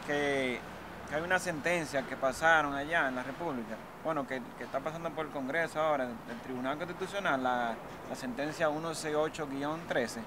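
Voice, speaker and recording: A rapid, fairly high-voiced young son, played by a man, pushes back hard at his mother and stumbles over repeated words. He is outdoors on front steps with clear street traffic behind him. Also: lightly acted. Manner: urgent, insistent, repeats words when upset.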